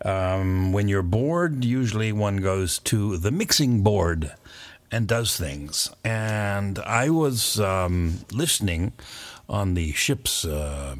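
An older man with a very low, deep voice tells an old radio story in a loose, rambling way, full of fillers and small chuckles. The sound is close and dry, with no room around it. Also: lively intonation, takes it slowly, dry recording. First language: not French; English speaker. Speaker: former pirate radio DJ, guest. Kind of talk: relaxed storytelling interview.

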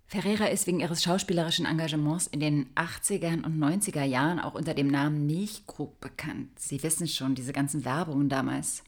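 A woman's voice: sits low, steady tone, a little fast, hardly any pauses. An actress playing a grave, accusing female police investigator in an audio drama, speaking with emphatic moral conviction. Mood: matter-of-fact, official.

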